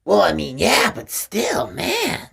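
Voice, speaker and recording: Very creaky, lively woman bantering. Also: medium pitch, slow pace, a crowd in the background. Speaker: listening co-host reacting to the story. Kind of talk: casual banter.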